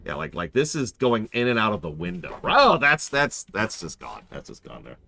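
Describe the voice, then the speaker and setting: Deadpan man in his forties streaming. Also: on the low side, normal speed, creaky. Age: 40s. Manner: dry, deadpan joking. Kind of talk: casual game-stream chat.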